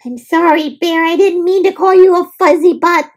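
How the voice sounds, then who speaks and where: A woman's voice: very high voice, fairly even intonation, moderate pace, pitched above the performer's own voice. A woman voicing a fussy little male woodpecker in a children's story, exclaiming in a plaintive way. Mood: apologetic, friendly.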